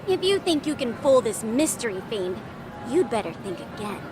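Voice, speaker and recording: A woman's voice: fairly high pitch, sing-song, normal speed. A woman playing an eager, pompous teenage girl who is president of a mystery club, in a dramatic video game style. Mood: accusing, confident. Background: background music.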